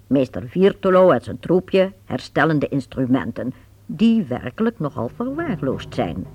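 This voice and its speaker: A woman narrating a radio play in a lively, expressive storytelling manner. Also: low-pitched, measured pace.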